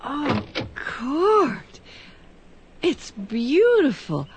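An actress playing a sweet, anxious young bride in a scripted drama. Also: female voice, high-pitched, sing-song, very slow, exaggerated voice. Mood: affectionate, wondering. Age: young adult.

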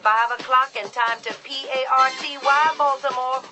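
An actress playing an energetic, hyped-up woman radio DJ announcing the evening show. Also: high-pitched, moderate pace, music in the background, natural voice. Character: upbeat woman drive-time radio DJ.

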